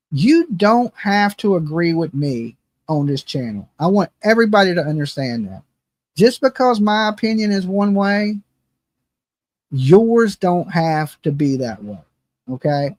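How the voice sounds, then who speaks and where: A man's voice: high-pitched, dry recording. A man in his fifties hosting a true crime channel, chatting casually and bluntly with lots of "right?" and "okay?". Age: 50s. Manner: rambling, blunt, self-mocking, frequent "right?" and "okay?". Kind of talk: casual livestream chat.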